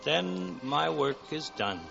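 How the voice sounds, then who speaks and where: An actor playing an eager, solemn elderly guardian angel in 1940s radio drama style, with a gravelly voice. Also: male voice, fairly high pitch, lively intonation, takes it slowly, fairly bright tone, faint music behind, exaggerated voice. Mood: solemn, exultant. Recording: old 1940s radio recording, muffled, reverberant.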